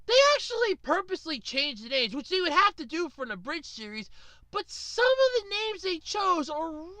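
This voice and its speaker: A woman rants at her viewers in a bright, forceful voice that swings widely up and down. She is sarcastic and exasperated, leaning hard on her words. The sound is dry, with no room around it. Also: very high voice, very animated, normal speed, dry recording. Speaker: video ranter. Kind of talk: opinionated rant to viewers.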